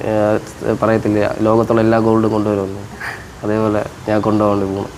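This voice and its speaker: A man's voice: lowish voice, completely flat delivery, quick pace, darkish tone, slight background noise. A man chatting casually and playfully as a prank host, teasing and repeating his questions insistently.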